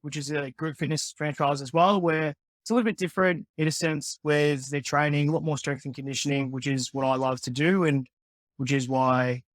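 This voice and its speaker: A young man with a slightly high voice talks about his work in an easygoing, friendly way, often stopping to say "yeah". His voice sounds close and dry, with no room echo. He comes across as a guest on an interview show. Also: a little fast. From born in the Philippines, raised in Australia. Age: 20s. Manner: relaxed, friendly, many yeahs and restarts. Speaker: guest, personal trainer and osteopath. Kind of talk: casual interview answers.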